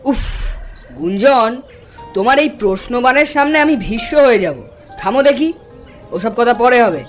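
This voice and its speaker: A man's voice: very high voice, lively intonation, normal speed. An actor playing a hospitable, insistent village man in a horror cartoon, talking in a chatty, coaxing, folksy way. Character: hospitable, insistent village man hosting his junior colleague. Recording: music behind the voice. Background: background music.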